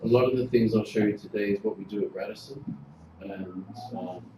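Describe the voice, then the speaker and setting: Man from Greece, 40s, casual hotel-trainer delivery, fillers; boomy room, background noise. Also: brisk. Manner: chatty, informal, filler-heavy. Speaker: hotel general manager giving a workshop. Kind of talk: relaxed presentation to a class. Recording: roomy, noisy room recording.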